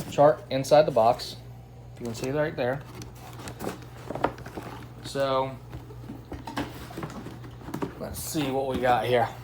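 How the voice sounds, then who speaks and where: A man with an enthusiastic, slangy, folksy way of talking, giving a casual product review as host. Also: on the high side. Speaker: host of product unboxing and test video. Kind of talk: casual unboxing review chat. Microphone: phone's built-in microphone. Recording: noisy phone camera recording.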